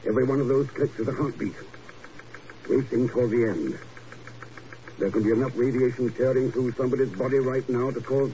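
An actor playing a cool, commanding secret agent in a 1950s radio drama, speaking in a clipped, deliberate way. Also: male voice, mid-range voice, mellow, lightly acted. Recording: muffled, hissy old radio recording.

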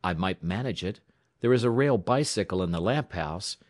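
A man's voice: deep voice, lively intonation, moderate pace, no echo, clean studio sound. A man voicing a plucky, cheerful young railway tower operator, matter-of-fact and game, in an audiobook story. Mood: willing, brisk.

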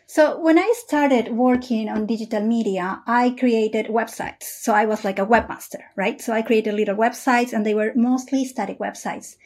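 Female engineer guest from Peru, higher pitch, calm and deliberate, video-call audio. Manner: measured and thoughtful, with frequent "uh" pauses. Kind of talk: casual interview answers.

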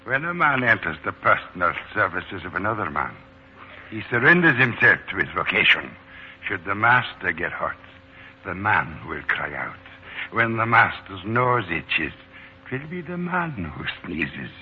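An actor playing a devoted, solemnly deferential manservant, formal and earnest, in 1940s radio style. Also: male voice, low-pitched, sing-song, a little slow. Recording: muffled, noisy 1940s radio recording.

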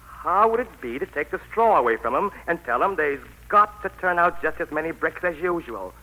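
A sly, fawning royal magician in an old radio play. His voice is bright, husky and on the high side, with a folksy dialect and a deferential, nasty streak. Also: lively intonation, normal speed, rough recording. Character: servile, sly, nasty court head magician. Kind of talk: 1940s radio acting. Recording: 1940s radio broadcast recording.

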